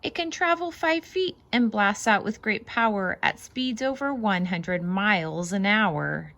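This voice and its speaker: A woman reading a children's picture book aloud as host, expressive and sing-song, stressing the rhymes and adding casual asides. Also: mid-range voice, unhurried, muffled recording.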